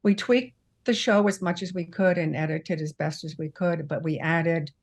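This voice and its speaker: A woman's voice: lowish voice, steady tone, normal speed. A woman talking casually and explaining a production she made, as an interviewee. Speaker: radio drama producer being interviewed.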